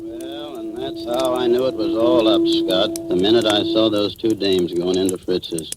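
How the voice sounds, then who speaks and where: Low-voiced, slangy crooked cop. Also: male voice, sing-song, measured pace, loud music behind the voice. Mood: resigned. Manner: slangy, wry, streetwise. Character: crooked, slangy tough policeman, cornered but cocky. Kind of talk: old-time radio crime acting. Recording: noisy old radio drama recording.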